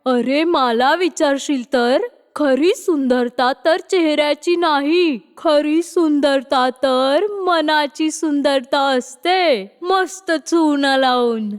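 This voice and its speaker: A woman's voice: high-pitched, slow pace, hardly any pauses. A woman voicing a brash, sly, middle-aged village mother-in-law in a cartoon moral story, with rustic, chatty speech. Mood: gushing, folksy. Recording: long reverb on voice.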